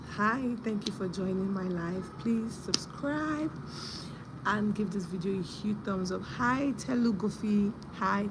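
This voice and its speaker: A young woman hosting a casual live stream, husky from a sore throat, telling a story and greeting viewers by name. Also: mid-range voice, noisy background.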